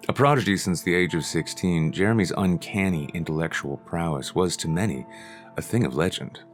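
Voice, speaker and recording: Guy narrating a sci-fi audiobook, real low voice, calm and even, faint music behind. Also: fairly quick.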